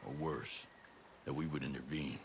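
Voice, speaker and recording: An actor playing a gruff, resolute special forces soldier in a video game, blunt and matter-of-fact. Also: male voice, a bass voice, moderate pace, a little hiss or hum. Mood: grave. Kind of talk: cinematic game acting.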